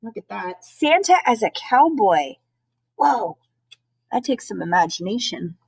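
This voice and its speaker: Expressive woman reading to children. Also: mid-range voice, a little slow, gravelly, slightly rough, no room sound. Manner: expressive, explains words and pictures simply to young children. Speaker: schoolteacher. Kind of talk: children's storybook read-aloud with chatty asides.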